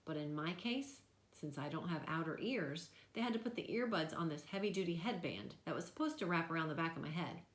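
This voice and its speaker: A woman's voice: deep voice, expressive, fairly quick, hardly any pauses. Woman reading aloud, roomy echo. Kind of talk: expressive children's novel read-aloud.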